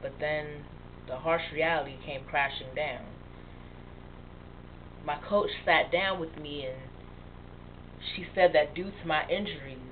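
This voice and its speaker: An actress playing a young woman who haltingly and emotionally confesses a past addiction to her best friend. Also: deep voice. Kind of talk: dramatic monologue. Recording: tinny phone-like sound with faint hiss.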